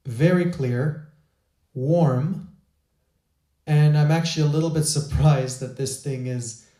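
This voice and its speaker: A man's voice: medium pitch, dark tone. A man hosting a gear review, talking in an enthusiastic, chatty way with small restarts, recorded on a shotgun microphone. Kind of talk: product review talk.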